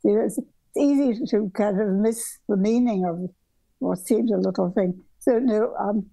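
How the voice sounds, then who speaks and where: An older woman from southern Africa reminisces over a video call with a mellow, slightly gravelly voice. She wanders through her stories with many ums and restarts, and the sound is dry and close. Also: medium pitch, moderate pace, dry recording, rough recording. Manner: meandering, with frequent restarts and ums. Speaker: guest architect. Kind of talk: reflective conversational storytelling. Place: home bedroom workroom, indoors.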